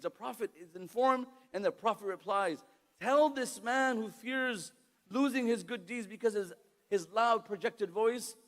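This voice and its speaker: Impassioned high-voiced male preacher. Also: big-room sound. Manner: impassioned, recites Arabic verses quickly. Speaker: Islamic preacher. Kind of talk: sermon. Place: lecture hall.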